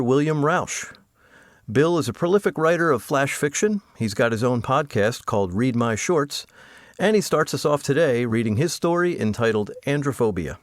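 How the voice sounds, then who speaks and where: A man's voice: medium pitch, no echo, clean studio sound. A man delivering a scripted introduction as the host of a story podcast.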